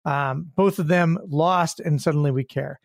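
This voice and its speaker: A man's voice: a little high, a little slow, vocal fry, no echo. Blunt, emphatic man, close dry mic. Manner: blunt, emphatic, repeats his verdicts. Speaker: co-host. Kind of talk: political panel chat.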